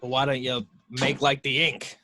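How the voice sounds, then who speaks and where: A man plays a sour, hardboiled private eye. His voice is sharp and gruff with a dry, weary delivery, over faint noise. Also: medium pitch, little pitch movement, normal speed, lightly acted. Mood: irritated. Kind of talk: amateur table read of a film script.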